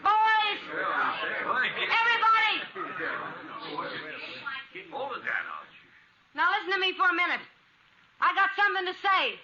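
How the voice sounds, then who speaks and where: An actress playing a worldly, warm-hearted frontier saloon woman, frank and plain-spoken, in a 1950s radio western. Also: very high-pitched, lively intonation, moderate pace, a loud crowd. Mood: rallying. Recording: muffled old radio recording.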